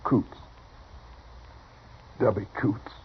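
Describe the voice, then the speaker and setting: An actor playing a poor, meek, badly hurt cowboy in a 1950s radio western, speaking in halting, plain words. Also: male voice. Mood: humble, weary. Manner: halting, plain-spoken, humble. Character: poor, meek, dying cowboy longing for respect. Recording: noisy, muffled old radio recording.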